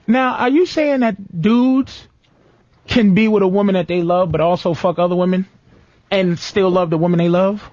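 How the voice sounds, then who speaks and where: High-pitched blunt man, argumentative, dull recording. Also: moderate pace, darkish tone, no room sound. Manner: emphatic, repeating points. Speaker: talk show host. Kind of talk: heated comic debate, crude casual talk. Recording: dull lo-fi dry studio audio.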